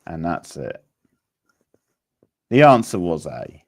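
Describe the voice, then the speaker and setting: Male quiz host, casual and unhurried, deep creaky voice with a halting delivery. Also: big pitch swings, a little mellow, dry recording, studio quality. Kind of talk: casual live-stream quiz hosting.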